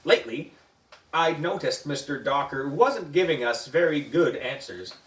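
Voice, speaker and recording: A man reading a children's story aloud in an expressive, deliberate way, acting out the dialogue. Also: a little high. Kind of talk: animated read-aloud narration. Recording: roomy echo, distant microphone.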